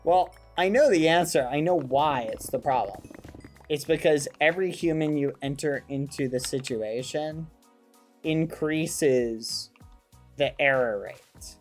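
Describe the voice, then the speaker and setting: A man's voice: on the high side, measured pace, soft background music. A man live streaming, chatting casually with his viewers in a rambling, playful, mock-indignant way. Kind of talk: casual chat with stream viewers while gaming.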